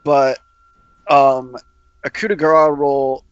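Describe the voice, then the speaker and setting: A man's voice: medium pitch, flat delivery, takes it slowly, clean tone, lo-fi sound. A man casually advising on rules during a tabletop roleplaying game.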